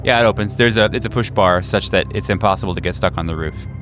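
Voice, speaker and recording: A man narrating a tabletop game as game master, improvising in a conversational, halting way. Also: low voice, over music.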